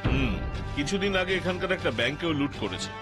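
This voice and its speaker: A man playing a polite, worried gentleman in a cartoon, speaking hesitantly and anxiously. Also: fairly high pitch, animated, fast, music in the background, natural voice. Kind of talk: cartoon dubbing in Bengali.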